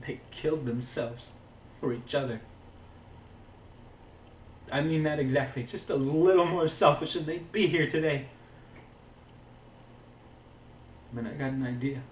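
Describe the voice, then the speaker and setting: A man playing an earnest, guilt-burdened young war veteran in a halting, intense, confessional stage monologue. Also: high voice, a bit bright, recorded from a distance, a little hiss or hum. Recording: roomy, echoing indoor recording.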